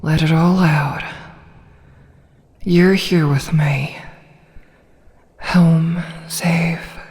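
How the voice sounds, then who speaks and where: A woman's voice: low-pitched, steady tone, extremely slow, a little mellow, full character voice. A woman voicing a tender, protective snake woman in a fantasy ASMR roleplay, coaxing and soothing, with a sly streak toward prey. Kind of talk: ASMR roleplay, scripted fantasy audio drama. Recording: long reverberant hall-like echo.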